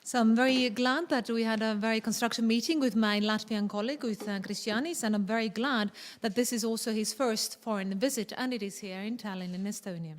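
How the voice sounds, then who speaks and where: Higher-voiced woman interpreting at a press conference. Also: fairly quick, hardly any pauses. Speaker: moderator and interpreter. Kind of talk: consecutive interpreting.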